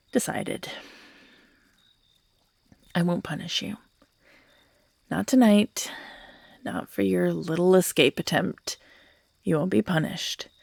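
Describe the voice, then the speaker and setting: A woman's voice: mid-range voice, gravelly, a little mellow, no room sound, clean studio sound. A woman playing an obsessive, manipulative young kidnapper in a dramatic audio roleplay, theatrical, with sighs, whispers and outbursts. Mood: coldly possessive. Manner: theatrical, swinging between whispers and outbursts, sighing.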